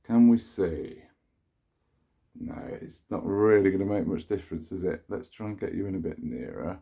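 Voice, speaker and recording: Low, dark-voiced man with a stuffy cold. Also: animated, moderate pace, vocal fry. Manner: chatty, meandering, self-correcting. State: nasal from a cold. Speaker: vacuum cleaner collector. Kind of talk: casual show-and-tell demonstration. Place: sunny room indoors.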